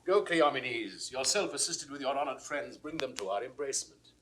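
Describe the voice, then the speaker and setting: An actor playing an aging, remorseful king in classical Shakespearean verse, with a British accent and a measured, grandly declamatory delivery. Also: mid-range voice, lively intonation, a bit bright, a little hiss or hum, natural voice, low-quality recording. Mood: commanding.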